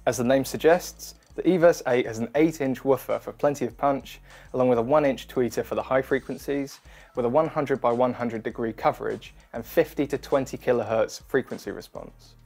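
A man in his thirties narrates a scripted product walkthrough. His delivery is clear and instructional, at a middling pitch, with music playing behind him. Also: brisk, darkish tone. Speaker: product demonstration presenter. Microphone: wireless lavalier or close studio mic. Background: background music.

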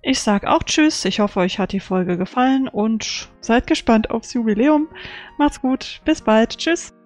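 Fairly high female podcast voice. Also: unhurried, soft background music. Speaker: podcast panelist. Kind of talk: casual chat.

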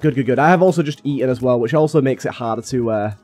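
A young man with a slightly raspy voice from being a little ill, chatting casually and thinking aloud as he plays a game. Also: medium pitch, quick pace, a little mellow, dry recording, soft background music. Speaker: gaming YouTuber. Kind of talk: casual gameplay commentary.

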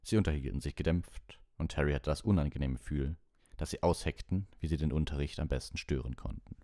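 A man with a remarkably deep voice reads a storybook aloud. It is scripted audiobook narration, recorded close and dry. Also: fairly quick, mellow, dry recording. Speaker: audiobook reader.

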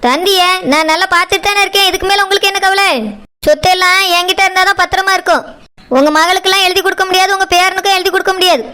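Hard-hearted daughter-in-law, female performer for a family skit: piercing, fast-talking, curt and scolding, southern Tamil Nadu accent. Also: extremely high pitch, level tone, bright, forceful tone, a little hiss or hum. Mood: cold defiance. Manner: scolding, dismissive. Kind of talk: family drama skit acting.

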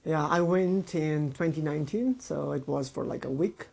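A man's voice: on the high side, normal speed, gravelly, a little mellow. A young man from Nepal, talking earnestly in an interview, often adding "like" and "right?". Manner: earnest, thoughtful, frequent "like" and "right?". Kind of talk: casual interview speech. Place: indoor room by curtained window.

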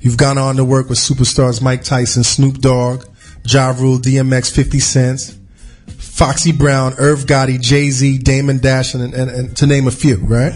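A man's voice: mid-range voice, flat delivery, a little mellow, faint music behind. A laid-back man hosting a podcast. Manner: loose, halting, repeats words. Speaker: podcast host. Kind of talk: casual interview chat.

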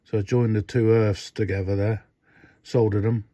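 A man's voice: on the low side, little pitch movement, normal speed, mellow, no room sound. An older man casually demonstrating a radio modification at his workbench, rambling with many fillers and restarts. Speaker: radio hobbyist showing a modification. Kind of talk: casual hands-on demo. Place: indoor workbench. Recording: dull, dry lo-fi phone audio.

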